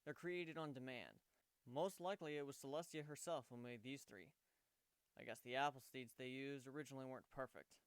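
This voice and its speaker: A man voicing a gentle, wry male pegasus pony who speaks matter-of-factly in a story reading. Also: medium pitch, a little fast, clean tone, no echo, close to an everyday voice. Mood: explaining.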